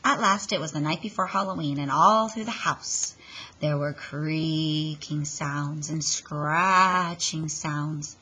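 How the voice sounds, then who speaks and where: A woman reading a children's story aloud in an expressive, dramatic way, leaning on the sound words. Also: deep voice, slow, husky, poor audio.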